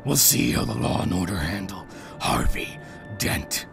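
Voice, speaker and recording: An actor playing a bitter, menacing fallen district attorney in a radio noir drama, gravelly and whispery. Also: male voice, deep voice, very animated, unhurried, exaggerated voice. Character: scarred, bitter, menacing former district attorney turned killer. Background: music.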